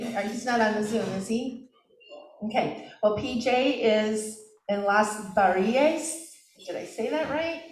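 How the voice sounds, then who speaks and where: A woman hosting a club meeting, chatting warmly with members and making announcements. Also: on the high side, echoey room, distant mic, a little hiss or hum, rough recording. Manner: upbeat, encouraging interviewer. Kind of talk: casual meeting chat.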